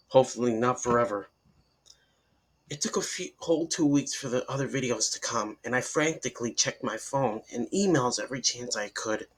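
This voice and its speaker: Husky man narrating a horror story. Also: medium pitch, fairly bright tone, low-quality recording. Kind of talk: dramatic reading of a horror story.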